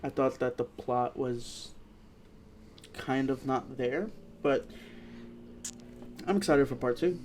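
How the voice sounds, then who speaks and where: A man co-hosting a podcast, giving a casual, halting review as if thinking aloud. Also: medium pitch, slight background noise. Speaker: podcast co-host, movie reviewer.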